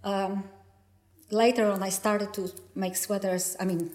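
A woman's voice: medium pitch, fairly even intonation, unhurried, darkish tone. Nervous Polish-accented woman, echoey room. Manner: nervous, hesitant, many fillers. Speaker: knitting designer and teacher. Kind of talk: interview. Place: yarn festival venue.

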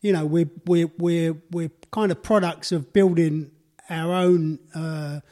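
A man's voice: on the high side, steady tone, takes it slowly, warm tone. A man in his sixties from London hosting a podcast, talking casually and repeating words as he searches for them. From London, England. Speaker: podcast host. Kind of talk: casual interview conversation.

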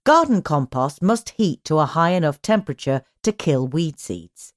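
A woman reading magazine articles aloud as a scripted narration, in a measured, instructional way with clear phrasing. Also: low-pitched, lively intonation, dry recording, clean studio sound. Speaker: magazine audio edition narrator.

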